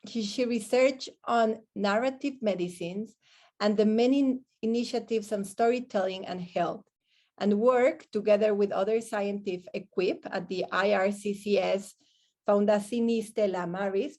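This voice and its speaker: A woman's voice: medium pitch, dark tone. A woman moderating an online event, reading out an introduction for a guest speaker.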